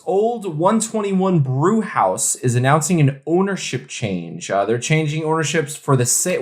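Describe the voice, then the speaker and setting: A man co-hosting a podcast, talking informally and colloquially through news and banter. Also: on the high side. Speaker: podcast co-host.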